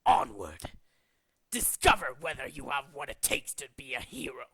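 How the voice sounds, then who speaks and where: A man voicing a megalomaniac villainous cult leader from a video game, scheming, pompous and contemptuous, moving between hushed menace and ranting. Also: medium pitch, huge pitch swings, normal speed, gravelly, breathy edge, no room sound, heavily acted. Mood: gloating. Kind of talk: theatrical video game villain monologue, demo reel.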